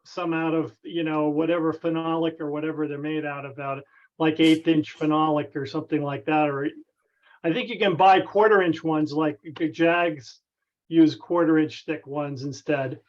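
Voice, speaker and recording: Man, fairly high pitch, muffled call audio, halting, tentative, casual technical explanation. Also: fairly even intonation. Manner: halting, tentative, circles back. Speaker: club member asking a technical question. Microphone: conference call connection. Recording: dull, band-limited conference call audio.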